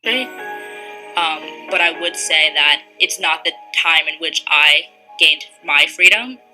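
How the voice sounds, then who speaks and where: A young woman from the United States with a lowish, very crisp and bright voice. She gives considered, reflective interview answers. Faint music plays behind her. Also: takes it slowly, low-quality recording. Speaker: interviewee in a short film. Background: soft music.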